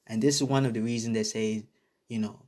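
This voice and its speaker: A man's voice: lowish voice, fairly even intonation, a little fast, a little mellow. A man from Nigeria with a Nigerian accent, ranting bluntly to camera in a casual vlog, swearing often and repeating his points for emphasis. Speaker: vlogger. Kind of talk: casual opinion rant to camera.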